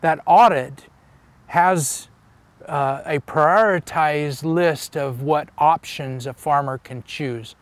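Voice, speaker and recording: A man's voice: on the high side, slow pace, no echo. A man in his fifties giving an explanatory interview as an energy specialist. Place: outdoors among trees by a wooden fence.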